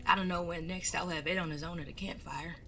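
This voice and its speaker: A woman's voice: fairly low pitch, steady tone, fast talker, fairly bright tone, soft background music. A woman playing an anxious, earnest bandit son in an audio drama, speaking politely and formally with some hesitation.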